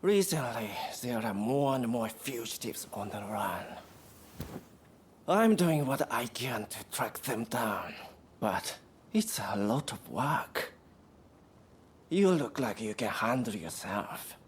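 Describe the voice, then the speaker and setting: An actor playing a weary, businesslike law enforcer in a video game cutscene, with a measured delivery. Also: mid-range voice, expressive, breathy edge, exaggerated voice.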